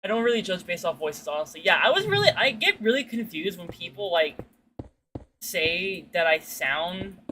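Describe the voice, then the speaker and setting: A woman streamer with a bright, forward voice tells stories in a loose, rambling chat. She talks in slang with some swearing and acts out quotes, over faint background noise. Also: normal speed. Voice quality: fairly deep-sounding for a woman. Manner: slangy, sweary, animated retelling with acted-out quotes. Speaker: streamer chatting with viewers while gaming. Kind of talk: casual chat, rambling storytelling.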